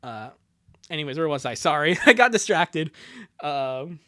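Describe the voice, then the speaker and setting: A man's voice: on the high side, lively intonation, measured pace, sharp tone. A man doing a casual, rambling, jokey livestream with commentary on what he reads. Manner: jokey, rambling, chuckling asides. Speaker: live streamer.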